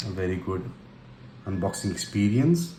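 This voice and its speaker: A man with an Indian accent narrating an unboxing casually and unscripted, in halting phrases broken by pauses. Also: low-pitched, big pitch swings, dark tone. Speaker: tech and motorcycle vlogger. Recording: roomy echo with faint background noise.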